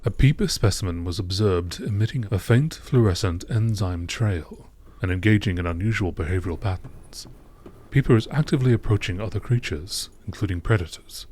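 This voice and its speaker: A man with a low-pitched voice delivers scripted narration in the manner of a nature documentary. His reading is measured and clinical, keeping a matter-of-fact tone throughout. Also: a little mellow. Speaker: narrator reading game lore entries.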